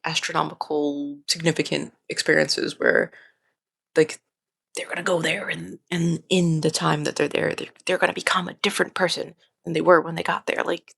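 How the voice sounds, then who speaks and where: Very creaky, casual young vlogger. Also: mid-range voice, a bit bright, no room sound, clean studio sound. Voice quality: slightly nasal. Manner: candid, informal, repeats and restarts phrases. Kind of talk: casual rambling personal vlog.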